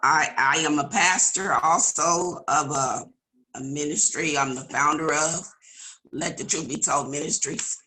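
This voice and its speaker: A woman pastor speaks on a video call, her voice a little raspy from a vocal cord implant yet bright and forward. She talks earnestly and prayerfully, often restarting a phrase before pushing on. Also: deep voice, unhurried, low-quality recording. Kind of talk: virtual audience member sharing testimony.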